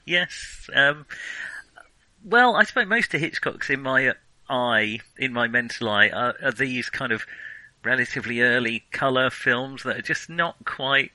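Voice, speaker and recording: A man hosting a podcast and casually discussing films, in a halting, hesitant way that often doubles back on itself. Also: medium pitch, animated, sharp tone, dry recording, rough recording. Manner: halting, hesitant, frequent self-interruptions. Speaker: podcast host.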